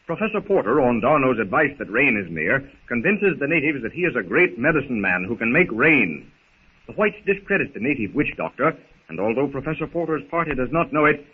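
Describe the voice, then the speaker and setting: A man's voice: medium pitch, slightly rough. A man narrating a 1930s radio adventure serial in a dramatic, solemn storytelling manner. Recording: scratchy, tinny 1930s radio recording.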